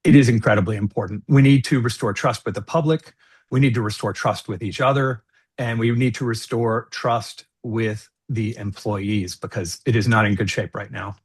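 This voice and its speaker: A middle-aged man giving an earnest, measured candidate statement on a video call, with some fillers. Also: fairly low pitch, steady tone, slightly rough. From United States. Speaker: school board candidate.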